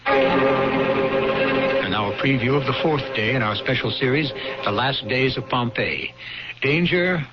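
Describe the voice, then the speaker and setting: A man hosting and narrating a radio drama series in a portentous, deliberate, theatrical style. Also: medium pitch. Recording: muffled old radio broadcast, loud music.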